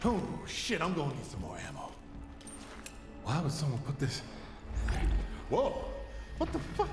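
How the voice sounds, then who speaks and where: A man's voice: a little high, wildly swooping pitch, measured pace. An actor playing a startled, foul-mouthed gunman in a video game, exclaiming and talking to himself. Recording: echoey hall reverb under loud music.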